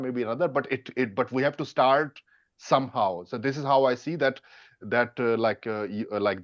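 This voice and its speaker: A man speaking earnestly on a panel about dating, halting often with fillers and restarts. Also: mid-range voice, lo-fi sound. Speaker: dating coach. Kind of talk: casual panel discussion.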